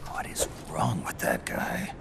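An actor playing a gruff, world-weary undercover soldier in a video game, terse and guarded, with a gravelly half-whisper. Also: male voice, slow pace, very noisy, natural voice. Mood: dry, guarded.

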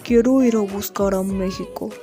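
A woman voicing a brooding, earnest, halting young Russian man in a fan-dubbed comic. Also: mid-range voice, mellow, faint music behind, natural voice. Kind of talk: fandub comic voice acting.

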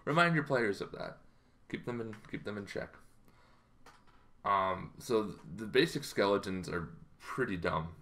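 A man in his thirties talking casually to camera in an explanatory way, halting and improvised, in a small bedroom. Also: fairly low pitch, lively intonation, bright, forceful tone. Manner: halting, loosely improvised delivery. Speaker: tabletop roleplaying game vlogger.

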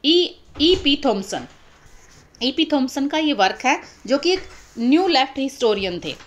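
A woman's voice: high voice, big pitch swings, measured pace. A young woman, explaining exam questions step by step, repeating key terms. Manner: explanatory, repeats key terms, mixes English titles into Hindi. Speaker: exam-prep teacher. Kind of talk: explaining exam questions over annotated screen.

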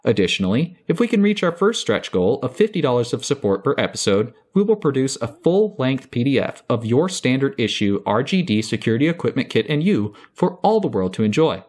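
Lively mid-pitched man reading podcast credits. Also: a little fast, hardly any pauses, slightly rough. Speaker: podcast host and voice actor. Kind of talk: scripted credits and promo announcement.

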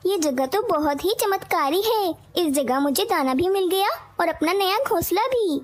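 A woman's voice: extremely high pitch, expressive, normal speed. A woman voicing a small, anxious, good-hearted mother bird in a children's cartoon, earnest and plaintive. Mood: delighted. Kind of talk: Hindi children's cartoon dialogue.

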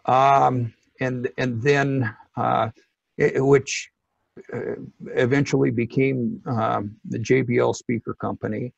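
A middle-aged man speaks slowly and haltingly, as an expert guest explaining things informally. His voice is heavily creaky and husky, with frequent pauses. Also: medium pitch, little pitch movement, dry recording, highs cut off. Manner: halting, hesitant delivery with many pauses. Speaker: loudspeaker company technical director, interview guest.

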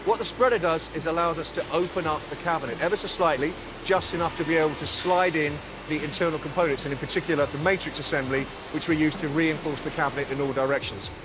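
Calm man guiding a factory tour. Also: fairly high pitch, fast talker, few pauses, noisy background. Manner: calm, clear, explanatory. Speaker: factory guide. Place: large factory floor, indoors. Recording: music bed under voice.

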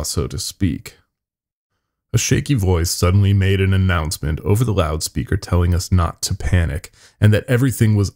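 Very deep, gravelly male horror storyteller. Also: darkish tone. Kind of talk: first-person scary story narration.

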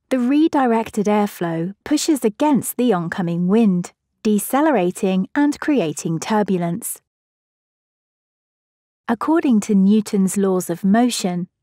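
A woman giving a measured, scripted narration for an educational physics video. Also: medium pitch, dark tone, dry recording, studio quality.

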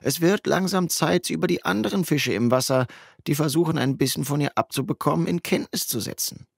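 Audiobook role: goading teenage best mate male narrator, casual and teasing, pitched up. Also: brisk, creaky, breathy edge, no echo, clean studio sound. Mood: urging. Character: teenage boy, cheeky, goading best friend.

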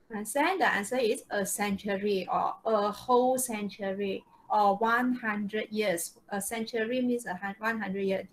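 A woman's voice: on the high side, poor audio. A woman teaching a live online English class, often checking understanding with short questions. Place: online video-call lesson.

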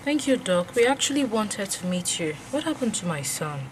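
An actress playing an anxious, caring mother with a Nigerian accent, gently probing in a radio drama. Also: medium pitch, sing-song, moderate pace, background noise, natural voice. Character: worried, caring mother questioning her son.

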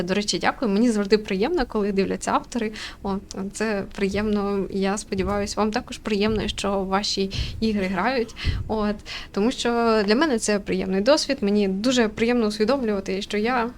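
A woman from Ukraine giving casual, chatty let's-play commentary, halting as she thinks aloud and often giggly. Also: mid-range voice. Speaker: gaming streamer. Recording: faint room noise and ambience.

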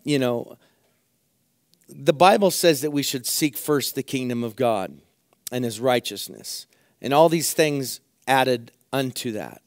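A middle-aged man preaching a sermon from a church pulpit, his voice hoarse, earnestly repeating phrases for emphasis. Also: medium pitch, expressive, a little slow, dry recording, clean studio sound. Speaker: pastor. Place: church sanctuary at a pulpit, indoors.

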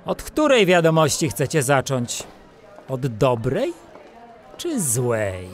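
A man playing an animated junior animal police officer in a children's audio drama. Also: mid-range voice, slow pace, faint music behind. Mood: teasing, reporting.

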